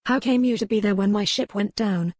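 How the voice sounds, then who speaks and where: A woman's voice: medium pitch, brisk, darkish tone, no echo, studio quality, close to an everyday voice. Woman playing a proud, impassioned captive noblewoman. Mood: composed. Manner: impassioned, formal archaic phrasing. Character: proud, fiercely resolute young noblewoman held captive. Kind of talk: dramatized romance audiobook dialogue.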